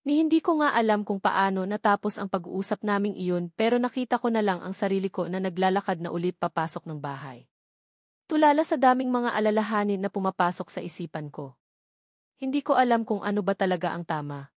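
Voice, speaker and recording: A woman's voice: medium pitch, animated, brisk, no echo, studio quality. Woman narrating a romance novel. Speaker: audiobook narrator.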